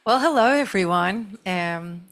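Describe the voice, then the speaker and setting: A woman's voice: mid-range voice, expressive, unhurried, a bit bright. A middle-aged Scottish woman, a marketing chief, telling a long, chatty, self-deprecating story on a stage panel. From Scotland.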